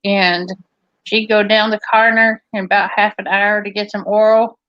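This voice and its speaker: A woman's voice: medium pitch, little pitch movement, normal speed. A woman chatting casually and teasingly, telling stories at length. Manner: teasing, talkative storyteller. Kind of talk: casual chat. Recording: dull lo-fi sound.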